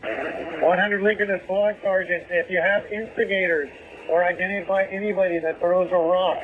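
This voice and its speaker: A man's voice: high voice, flat delivery, moderate pace. A man, a police field supervisor, giving businesslike instructions over a police radio. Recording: tinny, noisy two-way radio.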